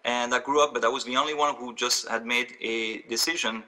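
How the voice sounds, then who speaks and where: Guest, man, 40s, sharp bright tone, heard from a distance. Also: medium pitch, fairly even intonation. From France. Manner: earnest, fast, with many fillers. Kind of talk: storytelling interview answers.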